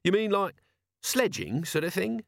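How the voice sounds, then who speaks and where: Guy doing cheeky scruffy watchman, shameless and blithe, high voice, slangy, storybook character. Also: big pitch swings, measured pace, vocal fry, no room sound, studio quality, full character voice. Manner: colloquial, blithe.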